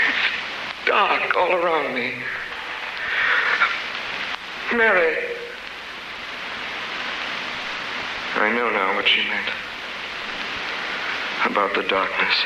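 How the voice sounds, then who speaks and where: A very noisy, scratchy 1930s radio recording carries a dying older prisoner in love. His slow, halting delivery is weary and emotional, with wide swings of pitch in the theatrical manner of old radio drama. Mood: desperate pleading. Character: weak, dying prisoner, lovelorn older man.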